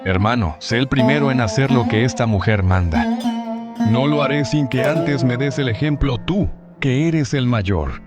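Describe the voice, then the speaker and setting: Man playing a formal, timid king over loud music. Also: medium pitch, lively intonation, moderate pace, natural voice. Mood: pleading, fearful. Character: wary royal brother, adult king, formal and timid. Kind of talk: dramatized audio storytelling.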